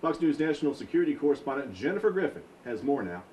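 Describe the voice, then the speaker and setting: A man anchoring a local newscast, reading stories in a steady formal broadcast manner. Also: medium pitch, fast talker, over a noisy crowd. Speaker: news anchor. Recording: distant mic with noisy control room.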